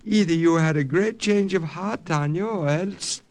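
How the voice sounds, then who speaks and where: An actor playing a wheedling, suspicious brother with a creaky voice in a vintage radio drama. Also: male voice, fairly high pitch, a little slow, a little mellow. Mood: suspicious, sneering. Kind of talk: 1950s radio drama acting. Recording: old radio recording.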